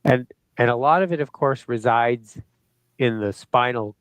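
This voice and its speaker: A middle-aged man hosting a show, friendly and a little halting, with many fillers, speaking over a video call. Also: medium pitch, clear, resonant tone, no echo. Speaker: host. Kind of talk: interview hosting.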